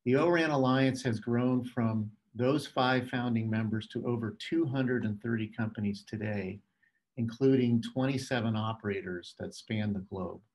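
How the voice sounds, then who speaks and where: Middle-aged male executive, mid-pitched voice, formal and measured keynote read delivered remotely to a webcam. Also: darkish tone, low-quality recording. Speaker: telecom executive giving a keynote. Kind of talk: scripted keynote presentation.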